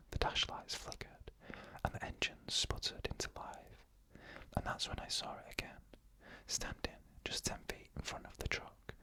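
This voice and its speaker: An ASMR narrator telling eerie first-person stories in a hushed, slow, suspenseful whisper, almost entirely without voice. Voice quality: unvoiced whisper throughout.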